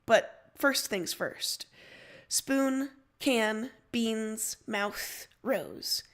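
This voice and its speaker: A woman with a fairly high voice reads fiction aloud. She works as the narrator, carrying second-person prose in a storytelling style. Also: animated, drawn-out words, a bit bright.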